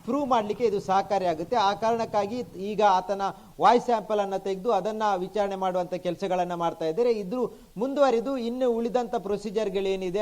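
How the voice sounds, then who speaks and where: Male field correspondent, high-pitched, Karnataka accent, explanatory and repetitive live news reporting. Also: a little mellow.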